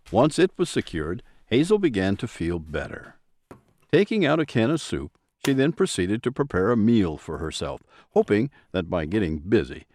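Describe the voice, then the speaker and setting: A man narrating a scripted mystery audio drama in a deliberate, suspenseful manner. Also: on the low side, a little hiss or hum.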